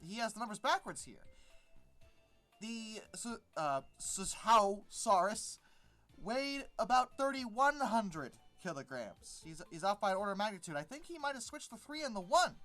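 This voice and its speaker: A woman's voice: a little high, fairly bright tone. A woman hosting a casual unscripted commentary, with a sarcastic, emphatic, rambling manner that repeats words for emphasis. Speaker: video host and commentator.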